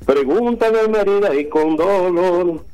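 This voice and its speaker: A man's voice: high-pitched, measured pace, a little mellow, clear, resonant tone, soft background music, low-quality recording. A man on a radio show quoting a bachata title for the others.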